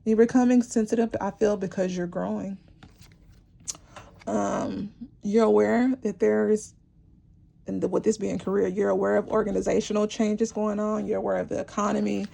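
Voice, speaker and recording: A woman gives an intuitive tarot reading straight to her viewers, rambling and encouraging, often restarting her sentences. Her voice is mellow, dark and gravelly, and it is wearing hoarse, with frequent throat clearing. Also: mid-range voice, flat delivery, moderate pace. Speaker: tarot reader.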